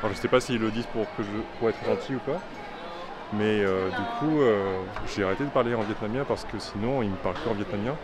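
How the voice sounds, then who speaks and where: A man's voice: on the low side, quick pace, a crowd in the background. A young man vlogging casually while travelling, talking in a chatty way with many fillers and restarts. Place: busy city street outdoors. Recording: loud street noise. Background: loud traffic and street noise.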